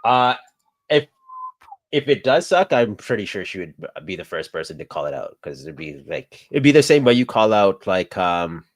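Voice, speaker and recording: Low-voiced, easygoing man in a dry chat. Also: moderate pace, no room sound. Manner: relaxed, laughing, rambling. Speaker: co-host. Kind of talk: casual chat.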